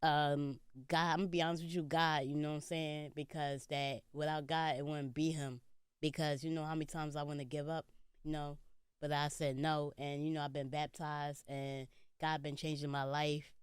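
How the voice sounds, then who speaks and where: Earnest young man, smooth dry voice. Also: a little high, little pitch movement, normal speed, no echo. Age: 20s. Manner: earnest, speaks of faith, many "you know" fillers. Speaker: guest comedian. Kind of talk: casual interview conversation.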